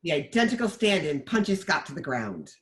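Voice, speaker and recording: A woman's voice: low-pitched, big pitch swings, moderate pace. A woman narrator reading the stage directions of a screenplay in scripted narration.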